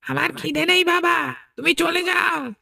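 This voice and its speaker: An eerie village child from a horror cartoon acted by a woman. The voice is piercingly high and creaky, with a bright, sharp edge and an insistent, hungry manner. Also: unhurried, no echo, clean studio sound, exaggerated voice. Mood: eerie, sullen. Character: village child possessed by an eerie craving for living meat.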